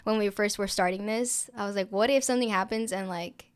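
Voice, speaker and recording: A woman's voice: medium pitch, steady tone, fairly quick, clean tone. A young woman, a native Spanish speaker, co-hosting a podcast in informal, slangy, casual conversation. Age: young adult. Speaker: podcast co-host. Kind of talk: casual sibling chat.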